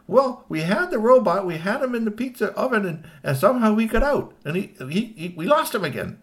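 A man's voice: sits high, big pitch swings, brisk, pitched above the performer's own voice. A man voicing a fearful, apologetic male underling who stammers and hesitates in a children's story. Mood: flustered.

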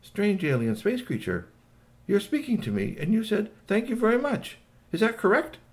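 A man's voice: high voice, wildly swooping pitch, normal speed, pitched above the performer's own voice. A man voicing a friendly, curious little male space alien in a children's story, with exclamatory, quaintly formal phrasing. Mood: amazed.

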